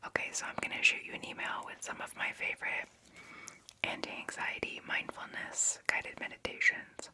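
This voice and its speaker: A woman in her twenties speaking in a soft, unhurried whisper, gently soothing like a therapist in an ASMR roleplay, close to the microphone. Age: 20s. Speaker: ASMR performer playing a therapist. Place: small cozy indoor room. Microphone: close ASMR microphone, just off camera.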